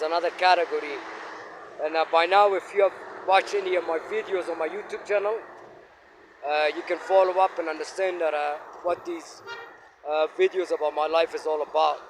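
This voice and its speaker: A man in his fifties from Afghanistan, telling his life story to his phone camera while walking in an outdoor park, speaking in a halting, reflective way with many fillers. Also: high voice, background noise. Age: 50s. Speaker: vlogger. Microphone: phone held facing himself.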